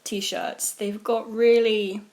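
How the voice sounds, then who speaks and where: A young woman from Jersey hosts a relaxed interview with a middle-pitched voice. Her talk is loose and chatty, full of "like" fillers. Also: very slow. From Jersey, Channel Islands. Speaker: podcast interviewer. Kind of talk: casual interview chat.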